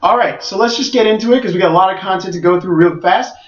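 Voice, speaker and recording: High-voiced man, roomy distant sound. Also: fast talker. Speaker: podcast co-host and head tournament organizer. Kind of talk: casual podcast talk. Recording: roomy, dull, distant mic.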